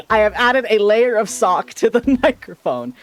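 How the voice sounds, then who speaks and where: Streamer guy, kinda shrill sharp voice, rambles and repeats himself, lively up and down, quiet music behind. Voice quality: slightly shrill, sharp-sounding voice. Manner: rambling, playful, stumbles and repeats words. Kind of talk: casual livestream chat with viewers. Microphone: desk microphone.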